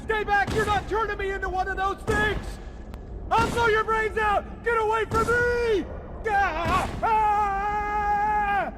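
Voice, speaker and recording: A man's voice: very high voice, flat delivery, slow. A man playing a terrified, deranged soldier in a video game, raving frantically in screaming panic. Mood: hysterical, defiant. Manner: frantic shouting and wailing. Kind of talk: video game cutscene acting. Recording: loud gunfire noise over voice.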